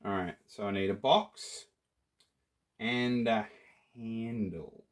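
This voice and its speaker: A man's voice: fairly low pitch, slow pace. A playful Australian man chatting to viewers as he builds a theatre prop. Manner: playful, chatty, talks to viewers while working. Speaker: prop maker. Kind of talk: casual workshop tutorial.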